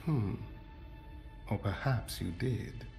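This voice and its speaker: An actor playing a cerebral weapons and technology specialist in a video game, precise, formal and matter-of-fact. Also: male voice, on the low side, slow pace, vocal fry, a little mellow, soft background music. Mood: clinical. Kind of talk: video game cutscene acting.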